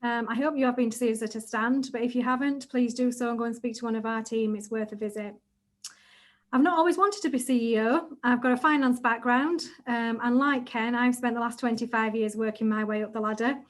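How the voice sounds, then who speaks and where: A middle-aged woman, a chief executive giving a measured, businesslike introduction on a panel. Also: on the high side, fairly even intonation.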